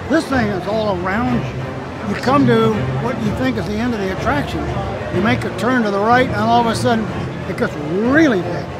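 A man's voice: high voice, normal speed, poor audio. A man in his eighties telling animatedly about riding an attraction, interviewed outdoors at a theme park. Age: 80s. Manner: excited, animated storytelling. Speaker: interviewed ride designer. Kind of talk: casual interview. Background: music and crowd.

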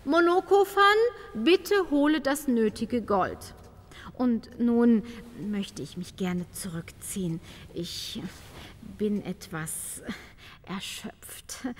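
A woman's voice: fairly high pitch, expressive, takes it slowly. A woman playing a haughty, refined countess in a comedic audio drama, with formal, theatrical diction. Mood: decisive, then weary. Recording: long hall reverb.

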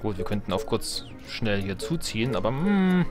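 A man's voice: fairly low pitch, very animated, moderate pace. A man giving casual gameplay commentary, thinking aloud with wry self-mockery. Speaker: let's play host. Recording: game music behind the voice. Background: video game music.

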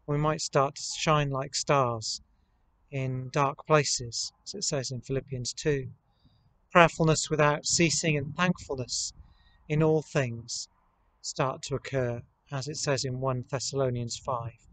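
A man in his thirties, a vicar, delivering a sermon to camera outdoors in measured, deliberate phrases. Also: medium pitch, fairly even intonation, fairly bright tone, low-quality recording. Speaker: vicar leading worship. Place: outdoors in an open country churchyard.

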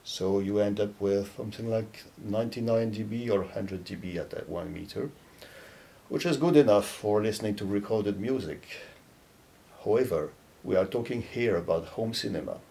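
A man in his fifties giving a measured, halting explanatory product talk to camera, pausing between phrases. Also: vocal fry, dark tone. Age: 50s. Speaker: loudspeaker company presenter. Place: dark indoor studio or demo room, seated. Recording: low rumbling background noise.

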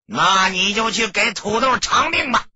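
Man playing a raspy, sharp, bullying gang boss. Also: sits high, expressive, unhurried, no echo, dull audio, pitched above the performer's own voice, heavily acted. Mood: coolly threatening. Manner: forceful, bullying. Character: menacing, extorting male gang boss.

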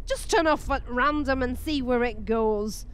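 A woman's voice: on the high side, measured pace, slight background noise, lightly acted. A woman acting a nagging, restless older wife on a car outing in a radio play. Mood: peevish. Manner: complaining, exclamatory. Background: car and vehicle sounds.

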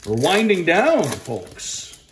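A man's voice: a little high, wildly swooping pitch, slow, slight background noise. A man giving casual, upbeat commentary on an unboxing, reading out names to viewers like a broadcaster. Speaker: radio sports play-by-play broadcaster.

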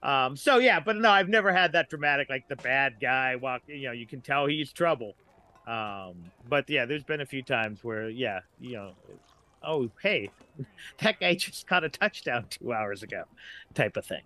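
Bright-voiced middle-aged American man. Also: mid-range voice, animated, normal speed. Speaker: podcast guest, writer. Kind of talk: casual podcast interview.

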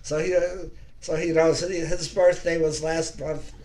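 A man in his eighties hosting a casual video call, chatty and quick to laugh. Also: a little high, flat delivery, a little mellow. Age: 80s. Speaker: host of online music club. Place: home room.

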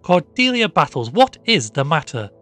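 A man voicing a peremptory, indignant middle-aged housewife in a storybook reading, sharp, commanding and scolding. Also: mid-range voice, fast talker, soft background music, close to an everyday voice. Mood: alarmed, demanding.

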